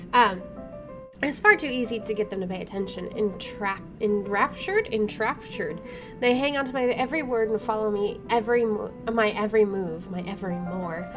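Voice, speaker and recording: A woman's voice: medium pitch, vocal fry. A woman doing a let's play, reading game text aloud with wry, informal asides and interrupting herself as she goes. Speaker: gaming streamer. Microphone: microphone placed to one side. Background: game music.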